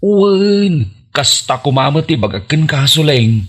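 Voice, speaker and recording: Guy acting an easygoing young ilocano dude in a radio drama, husky, pretty animated. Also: on the high side, unhurried, heavily acted. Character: easygoing young man helping at a snack stall.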